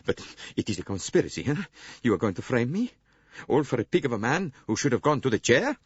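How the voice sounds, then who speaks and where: A man voicing a big blustering Italian chauffeur who protests loudly. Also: a bass voice, animated, moderate pace, slightly rough, no echo, heavily acted. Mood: defensive. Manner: loud, protesting, then softening. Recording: dull lo-fi recording.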